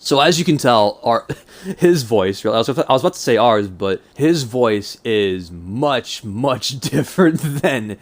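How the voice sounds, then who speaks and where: A man's voice: medium pitch, animated, unhurried. Rambling young man with a stuffy nose. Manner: hesitant, rambling, self-conscious. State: mild cold. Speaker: YouTuber. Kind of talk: casual rambling vlog monologue.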